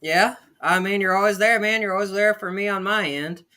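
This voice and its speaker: A man's voice: sits high, fairly quick, sharp tone. A man hosting a casual online interview, upbeat and chatty with plenty of fillers. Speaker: interview host.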